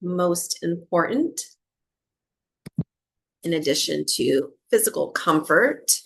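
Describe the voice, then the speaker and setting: A woman teaching breathwork, guiding a meditation in short, unhurried phrases with long pauses between them. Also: on the low side, lively intonation, creaky, slightly rough, rough recording. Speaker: breathwork and yoga nidra teacher. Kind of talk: guided meditation, slow soothing instruction.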